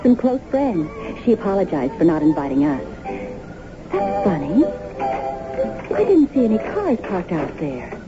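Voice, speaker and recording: An actress playing a cheerful, polite and curious young wife in a naturalistic radio drama, chatty and easygoing. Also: female voice, fairly low pitch, sing-song, a little slow, pauses often, over music. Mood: sleepy, chatty. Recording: muffled, noisy old radio broadcast.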